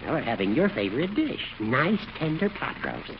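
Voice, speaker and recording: An actor playing a sly older judge who needles his friend with teasing, drawn-out mock politeness in a radio comedy. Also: medium pitch, sing-song, vocal fry. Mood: tempting. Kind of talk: 1940s radio comedy acting. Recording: muffled, noisy 1940s radio recording.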